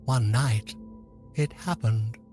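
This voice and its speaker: A man's voice: medium pitch, slow, music in the background, lightly acted. A man playing a lonely, regretful old man who bends time, speaking solemnly in an audio drama. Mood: grave, confessional. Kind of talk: scripted audio drama acting.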